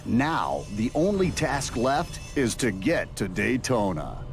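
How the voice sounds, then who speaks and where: A man's voice: medium pitch, sing-song, takes it slowly, creaky, over music, full character voice. A man delivering scripted TV-style narration for a video game.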